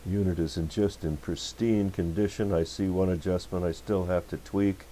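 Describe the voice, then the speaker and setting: A man's voice: deep voice, fairly even intonation, normal speed, dark tone, a little hiss or hum. A man talking in an informal, folksy, rambling way as he hosts a casual workbench demonstration. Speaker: host of a radio repair channel.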